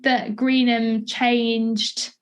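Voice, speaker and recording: A woman's voice: on the high side, flat delivery, very slow. A woman talking conversationally as a podcast interviewer, feeling her way through sentences with frequent false starts. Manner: hesitant, searching, hedging delivery with many false starts.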